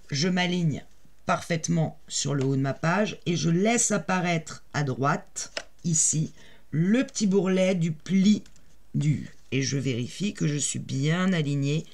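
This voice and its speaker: A woman with a naturally raspy voice and a head cold, chatting casually with many fillers as she explains a paper craft project step by step while she works. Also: low-pitched, a little mellow. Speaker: crafting tutorial host.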